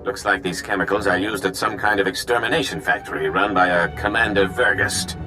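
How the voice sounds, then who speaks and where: Male actor as resistance chief, earnest, commanding, pressing, fairly low voice, game dialogue, music behind. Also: steady tone, brisk, natural voice. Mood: urgent.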